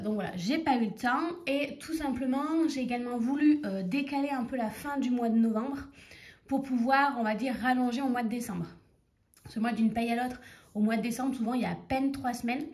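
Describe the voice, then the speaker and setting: A woman's voice: on the high side, darkish tone. A woman in her thirties with a cold and a stuffy nose, chatting casually to her viewers and counting out cash. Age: 30s. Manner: chatty, rambling, counts money aloud. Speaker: budgeting vlogger. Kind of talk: casual chat to camera.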